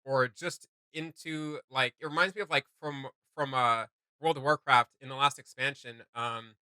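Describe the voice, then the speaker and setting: A man hosting a casual reaction video, giving gushing, slangy commentary in a halting flow. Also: medium pitch, fairly even intonation, pushed and bright, clean tone, no room sound.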